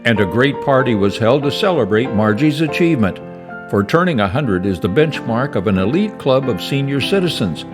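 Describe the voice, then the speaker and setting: A man reads a scripted narration with an animated, storytelling delivery. His pitch moves a lot. Music plays clearly under the voice-over. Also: normal speed.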